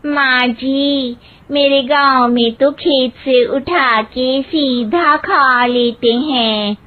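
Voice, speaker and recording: A woman's voice: sits high, steady tone, very slow. A woman voicing a naive, cheerful young village daughter-in-law in a cartoon, innocent and earnest. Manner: innocent, literal-minded, earnest. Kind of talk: Hindi moral-story cartoon dialogue. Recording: muffled, narrow phone-like sound.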